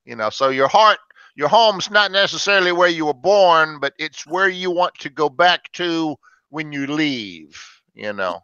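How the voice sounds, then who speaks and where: A man's voice: fairly high pitch, animated, unhurried, bright, forceful tone, clear, resonant tone, dry recording, lo-fi sound. An older man from Alabama teaching English conversation, patiently explaining with everyday examples to a group of learners. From Alabama, United States.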